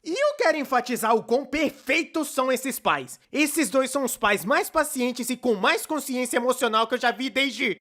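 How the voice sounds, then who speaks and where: A man's voice: very high voice, expressive, moderate pace, hardly any pauses, pushed and bright, full character voice. A man with a Brazilian accent playing a chatty, playfully sarcastic cartoonist narrator in a scripted fan dub. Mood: chatty, enthusiastic. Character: chatty, excitable young male cartoonist narrator.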